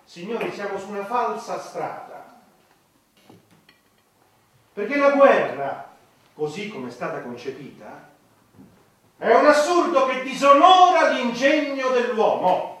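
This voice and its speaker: An actor playing a calculating, indignant government minister with a formal, oratorical delivery in a satirical stage play. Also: male voice, very high-pitched, sing-song, takes it slowly, pauses often, fairly bright tone, exaggerated voice. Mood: indignant oratory. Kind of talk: theatrical satirical stage acting. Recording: very echoey room, distant mic.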